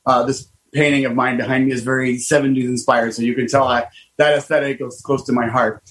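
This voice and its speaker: A man in his fifties hosting a casual radio interview, enthusiastic and animated, with frequent fillers and restarts. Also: medium pitch, fairly quick, poor audio. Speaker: host, car designer.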